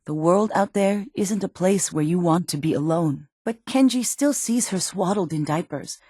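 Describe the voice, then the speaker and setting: An actress playing a worried, protective middle-aged mother in a post-apocalyptic video game, speaking earnestly and emotionally, with halting phrases. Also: fairly low pitch, a little mellow, husky, dry recording, studio quality. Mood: worried, reflective. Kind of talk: dramatic video game voice acting.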